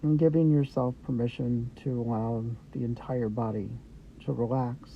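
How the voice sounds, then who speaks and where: A man guiding a meditation with gentle, unhurried instructions in short phrases with pauses between them. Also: medium pitch, steady tone. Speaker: meditation guide. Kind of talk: guided meditation, Yoga Nidra. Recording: dull lo-fi audio with background noise.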